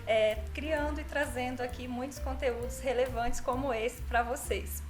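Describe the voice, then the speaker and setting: Woman hosting a podcast, high bright voice, soft music under it. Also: steady tone, moderate pace. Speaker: podcast host.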